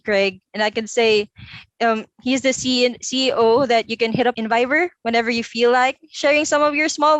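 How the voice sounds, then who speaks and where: A woman's voice: a little high, a bit bright, dry recording. Chatty young woman, dry sound. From Philippines. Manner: chatty, laughs often. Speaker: interview guest. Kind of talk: casual online interview. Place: home room.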